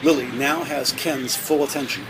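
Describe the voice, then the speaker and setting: A man's voice: medium pitch, steady tone, moderate pace, noisy background. A man reading scripted narration for an audio drama.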